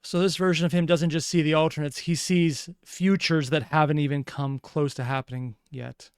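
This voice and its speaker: A woman gives reaction commentary in a smooth, clear voice. She muses analytically and puts questions to herself, recorded close and dry. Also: low voice, fairly even intonation, moderate pace, a little mellow, dry recording. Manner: musing, questioning, sighing between remarks. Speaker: reaction video host.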